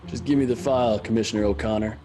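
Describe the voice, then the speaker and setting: A man playing a deadpan, gullible detective with a clipped manner in a comedy noir radio play. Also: on the low side, fast, lightly acted. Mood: deadpan, businesslike. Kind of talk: radio drama comedy acting. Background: music.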